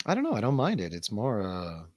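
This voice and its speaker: A man's voice: lowish voice, wildly swooping pitch, a little fast, a little mellow, no echo, clean studio sound. A man hosting a casual livestream chat, laid-back, slangy and rambling. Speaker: host of a livestream show.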